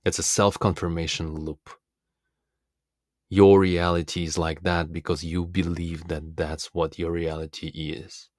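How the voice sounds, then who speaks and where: A man from Russia, a native Russian speaker, giving a reflective, philosophical self-help monologue in an earnest, deliberate manner as a coach. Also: low voice, a little mellow, dry recording, studio quality.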